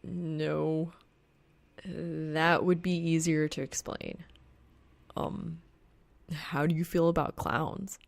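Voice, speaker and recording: A woman's voice: sits low, flat delivery, drawn-out words, gravelly, pauses often, no room sound, full character voice. A woman voicing a sardonic, easily embarrassed young male gamer who grumbles and swears in an audiobook story. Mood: mortified, awkward. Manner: sardonic, profane, awkward.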